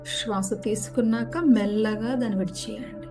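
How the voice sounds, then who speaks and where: A woman gently guides a relaxation meditation, giving unhurried step-by-step instructions. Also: fairly high pitch. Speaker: yoga nidra guide. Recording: music behind the voice. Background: background music.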